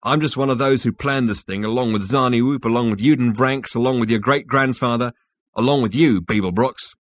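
A man's voice: mid-range voice, brisk, no room sound, pitched above the performer's own voice, natural voice. A man voicing an earnest, insistent male galactic conspirator in an audiobook. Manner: emphatic, explanatory, insistent. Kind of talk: audiobook character dialogue.

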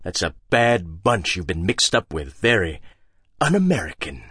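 A stern, manipulative ship captain, put on by a male narrator in an audiobook. He speaks low and hushed with slow, measured menace and a wide-ranging delivery, recorded dry and close. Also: sing-song, husky, no echo, heavily acted. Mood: scolding. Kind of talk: audiobook character voice.

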